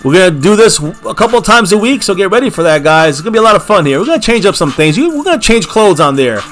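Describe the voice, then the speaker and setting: A man's voice: high voice, sing-song, fast, a bit bright, faint music behind. Hyped guy hosting a fan livestream from his little home bar, keeps calling chat baby and repeating the slogans. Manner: hyped, repeats catchphrases, calls viewers baby. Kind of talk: casual livestream sign-off with shout-outs. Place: small home bar setup.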